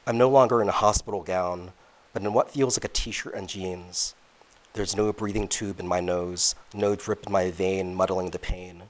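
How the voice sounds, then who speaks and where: A man reads from his own novel at a public event. His voice is very deep, and he takes the prose at a measured, deliberate pace. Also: rough recording. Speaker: author reading his novel. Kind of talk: reading aloud from a novel.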